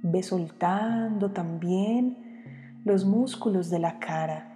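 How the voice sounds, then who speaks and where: Slow, mellow woman guiding meditation. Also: mid-range voice. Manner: calm, soothing, with long pauses between short phrases. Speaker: meditation guide. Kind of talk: guided meditation. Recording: long reverb, music behind. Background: music.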